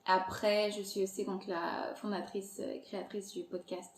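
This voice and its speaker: Podcast guest, woman in her thirties from Angola, Portuguese native speaker, animated and laughing, recorded in a reverberant room. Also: mid-range voice, normal speed, a little hiss or hum. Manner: lively, laughs often, many fillers. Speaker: podcast guest, content creator. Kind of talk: casual interview chat. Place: Montreal. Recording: echoey room.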